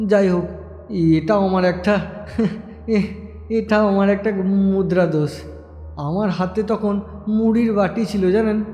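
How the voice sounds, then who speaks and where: Casting: actor as wounded young man narrating his tragedy, high but mellow voice, halting and confiding, audio drama. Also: natural voice. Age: young adult. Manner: halting, repeating phrases, confiding in listeners. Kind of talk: dramatic first-person audio story narration. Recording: echoey, long reverb over music.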